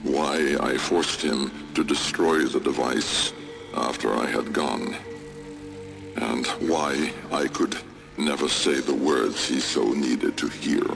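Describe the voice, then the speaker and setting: An actor playing a grave, regretful, secretive older scientist in a video game, speaking in a gravelly, solemn, measured way. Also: male voice, music in the background, exaggerated voice. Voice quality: deep, gravelly. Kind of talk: dramatic video game monologue.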